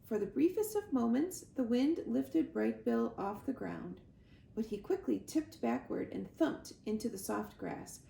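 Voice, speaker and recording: A woman narrates a children's story expressively. Her voice is fairly high with frequent creak, recorded in a roomy space with audible noise. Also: expressive, measured pace, mellow. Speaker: read-aloud storyteller. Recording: roomy echo, clear background noise.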